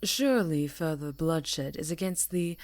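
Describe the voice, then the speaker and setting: A woman's voice: low-pitched, animated, unhurried, darkish tone. A woman playing a regal, coolly civil vampire countess in an ASMR roleplay, with a formal, imperious delivery. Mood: cool, challenging.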